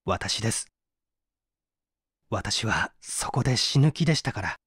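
A man's voice: lowish voice, animated, unhurried, a bit bright, husky, dry recording, studio quality, exaggerated voice. A man playing an evasive, subdued painter who is under interrogation, hesitant and confessional, in a dramatised mystery reading. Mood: subdued, resigned.

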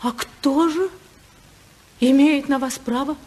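An actress playing a passionate, unhappy senator's wife in love, in a fervent, emotional 1950s radio drama style. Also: female voice, sits high, slow pace, darkish tone. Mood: insistent.

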